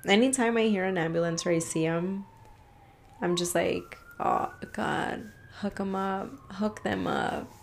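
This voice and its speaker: A woman's voice: medium pitch, sing-song, unhurried, vocal fry, a little hiss or hum. A woman hosting a casual solo podcast, ranting in a frustrated, rambling way and swearing freely, a little congested from allergies. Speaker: podcast host.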